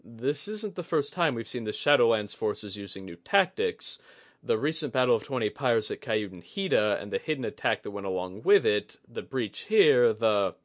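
Male reader as polite military diplomat: measured, formal, placating, expressive, dry studio sound. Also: mid-range voice, no room sound, voice pushed up, close to an everyday voice. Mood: controlled, stiff.

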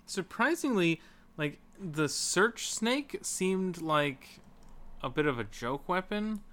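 A man's voice: high-pitched, sing-song, takes it slowly, bright, forceful tone. A man talking casually and jokily about video games as a podcast host, with informal, sweary phrasing. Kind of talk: casual video game discussion.

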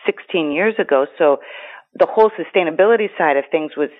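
A woman's voice: sits low, a little fast. Greek woman on a tinny phone line. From United States. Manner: rambling, frequent fillers and restarts. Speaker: guest. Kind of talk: casual interview talk.

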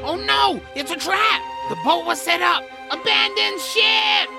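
A man playing an anxious, complaining troll in an audio drama, with a gravelly, fretful voice. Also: extremely high pitch, unhurried, heavily acted. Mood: panicked. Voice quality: gravelly, creaky. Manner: fretful, grumbling. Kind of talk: audio drama character acting. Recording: music behind the voice.

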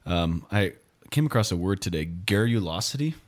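A man's voice: low voice, expressive, moderate pace. A man in his thirties hosting a podcast as a priest, bantering and teasing his guests in casual chat. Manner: joking, teasing. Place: studio, sharing a microphone.

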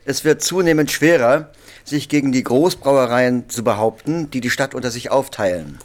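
A man reads a scripted story outline aloud to a workshop. His voice sits at a middle pitch for a man. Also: normal speed.

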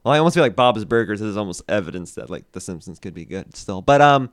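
A man's voice: lowish voice, lively intonation, fast, no room sound, studio quality. A young man from Canada, a comedian guest on a podcast, rambling casually with many "like" fillers.

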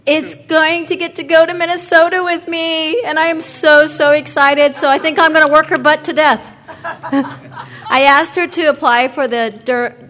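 A woman's voice: high-pitched, little pitch movement, normal speed. A woman presiding over an award presentation, talking in an effusive, repetitive way at a podium microphone in a meeting hall. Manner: warm, effusive, repeats words.

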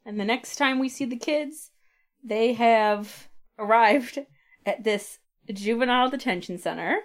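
A woman's voice: fairly high pitch, measured pace. A woman hosting a podcast in a casual chat, retelling a comic playfully and acting out lines with little asides. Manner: playful, acts out quoted dialogue with asides. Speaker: podcast host.

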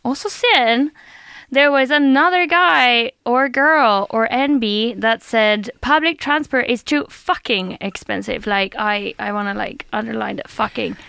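A young woman co-hosting a student radio show, talking in a lively, chatty way and laughing easily. Her voice sits a little high and sounds smooth and clean. The studio sound is dry and close. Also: a little slow, dry recording. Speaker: radio co-host. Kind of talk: casual chat on student radio.

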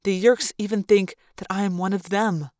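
A patient, ancient male android speaks in a soft, measured way, as a woman reads him. His tone stays earnest and gentle. The sound is dry and close. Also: medium pitch, steady tone, no room sound, natural voice. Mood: quiet.

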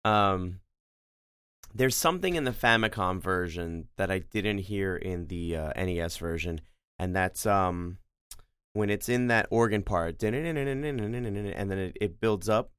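A man co-hosting a casual music discussion, haltingly feeling out his descriptions and sounding out rhythms. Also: low-pitched, fairly bright tone, smooth, dry recording.

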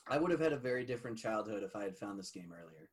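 A man in casual conversation, playful and quick to laugh. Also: on the low side, lively intonation, husky. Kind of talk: casual chat.

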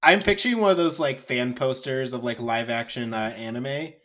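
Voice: mid-range voice, normal speed, a bit bright. A podcast co-host riffing casually, full of jokes and mock quotes.

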